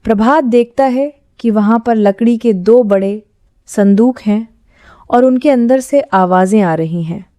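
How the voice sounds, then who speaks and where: A woman's voice: on the high side, unhurried, warm tone, no room sound, clean studio sound. A woman giving scripted narration of a horror story.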